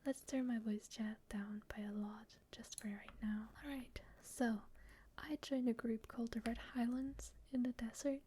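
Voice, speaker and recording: A woman whispering while she plays and describes an online game, shy and a little rambling, with frequent soft laughs. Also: fairly high pitch, steady tone, normal speed, slight background noise. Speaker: gamer.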